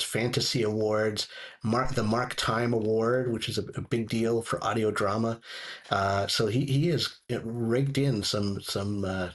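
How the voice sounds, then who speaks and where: A man chatting casually as a reviewer on a talk show, halting and rambling as he doubles back on phrases. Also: on the low side. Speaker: audio drama reviewer, show contributor.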